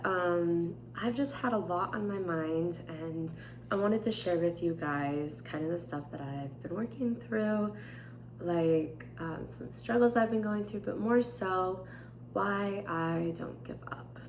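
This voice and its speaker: Raspy, tired young woman vlogger. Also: on the low side, roomy sound, a little hiss or hum. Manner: chatty with frequent fillers, gentle and candid. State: tired, voice strained. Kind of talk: casual chat and journal reading. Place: indoors at home, at a table. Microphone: camera on tripod.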